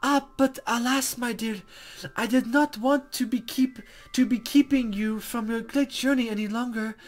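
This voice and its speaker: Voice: medium pitch, steady tone, moderate pace, soft background music, voice pushed up, lightly acted. A performer voicing a playful, flustered young woman guide from a fantasy visual novel, with stammering, formal, ornate speech. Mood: courteous, encouraging. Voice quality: put-on, wavering accent.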